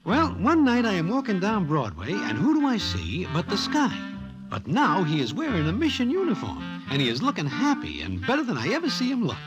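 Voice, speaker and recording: A man's voice: high-pitched, wildly swooping pitch, brisk, music in the background, poor audio. A man playing a wry, streetwise Broadway narrator in an old-time radio drama, using stilted formal slang. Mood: wry storytelling. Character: wry Broadway guy telling his gambling tale, streetwise and formal.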